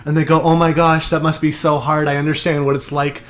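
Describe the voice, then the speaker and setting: A man's voice: fairly high pitch, little pitch movement, a little fast. Rambling young man telling a story. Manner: rambling storyteller with many restarts. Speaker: podcast co-host. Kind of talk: casual podcast chat.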